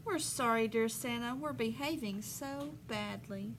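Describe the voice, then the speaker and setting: A woman's voice: fairly high pitch, a little slow, noisy background. A woman voicing an ashamed, apologetic kangaroo in a storybook, sad and subdued. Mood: sad, sorry.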